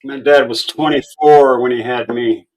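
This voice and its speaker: A man's voice: medium pitch, steady tone, measured pace, low-quality recording. A man in his sixties hosting a livestream, chatting casually with short, laid-back replies and some casual swearing. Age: 60s. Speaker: livestream host. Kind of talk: casual chat.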